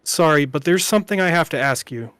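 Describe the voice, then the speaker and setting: A man's voice: on the high side, animated, fairly quick, a bit bright, a little hiss or hum. A man voicing an earnest, dogged young defense lawyer in an amateur video game dub. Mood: determined. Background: game music.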